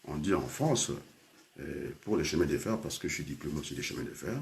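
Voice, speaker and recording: A man's voice: extremely low pitch, animated, fairly quick, vocal fry, slight background noise. An older man from Congo, a teacher and trainer, speaking in a rambling personal talk full of hesitations and switches into Lingala.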